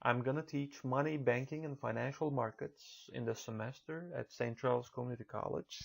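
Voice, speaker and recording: Turkish guy in his 30s recording a college lecture over slides, kinda gravelly, steady careful delivery. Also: medium pitch, normal speed, a little hiss or hum. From Turkey. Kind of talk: recorded online course lecture. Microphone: computer mic for a narrated slideshow.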